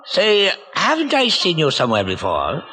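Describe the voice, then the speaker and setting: A man's voice: on the high side, huge pitch swings, moderate pace. An actor playing a chummy, jovial policeman on the beat in a 1940s radio drama. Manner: chuckling, chummy. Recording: noisy vintage 1940s radio recording.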